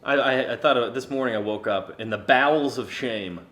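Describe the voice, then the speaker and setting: A hungover young man rambles through a crude podcast chat, joking and laughing as he goes. His voice sits in a middle pitch and rings around an echoey room. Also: moderate pace, a bit bright. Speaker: podcast co-host. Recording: roomy echo.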